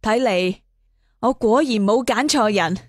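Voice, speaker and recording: A woman voicing a composed, teasing young martial arts master in an audio story, with a calm, dryly mocking manner. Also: on the high side, unhurried, dry recording, studio quality. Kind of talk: acted dialogue in an audio storytelling.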